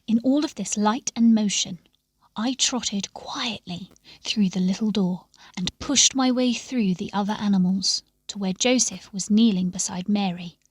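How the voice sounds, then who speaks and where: A woman narrates a story at a slow, deliberate pace. Her delivery is expressive and measured, often softening into a hush at the ends of phrases. The recording is close and dry. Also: mid-range voice, slightly rough, no room sound. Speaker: story reader.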